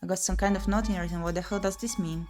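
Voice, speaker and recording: A woman doing let's-play commentary, reading game narration aloud and muttering and exclaiming to herself in an expressive way. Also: on the low side, quick pace. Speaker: streamer playing and reading a visual novel. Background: music.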